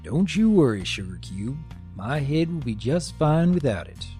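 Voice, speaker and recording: A man puts on a gentle cartoon father pony from the farm. He sounds proud and fond of his child, with a very lively pitch and music underneath. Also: normal speed, close to an everyday voice. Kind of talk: fan comic dub voice acting.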